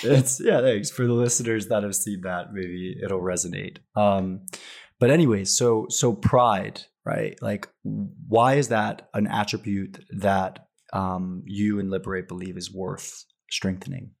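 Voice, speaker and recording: Male podcast host, low and gravelly, relaxed, rambling delivery. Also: unhurried. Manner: relaxed, rambling, many fillers. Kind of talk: interview conversation.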